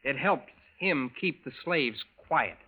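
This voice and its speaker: An actor playing a resolute, grave assassin from a future underground, speaking formally in clipped sentences in old radio drama style. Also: male voice, medium pitch, lively intonation, slow, pushed and bright, slightly rough, full character voice, poor audio. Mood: urgent, commanding. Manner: formal, clipped speech without contractions. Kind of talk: 1940s radio drama acting.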